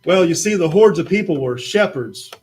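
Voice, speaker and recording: Man, high voice, patient children's Bible storyteller opposite a puppet. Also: lively intonation, moderate pace, a little hiss or hum. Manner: patient, gently explaining. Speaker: puppet show presenter. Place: church.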